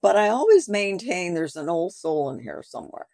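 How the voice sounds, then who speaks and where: A woman's voice: on the low side, sing-song, normal speed, mellow, dry recording, studio quality. A woman in her seventies, a boutique owner, chatting animatedly in an interview and acting out conversations with customers. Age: 70s.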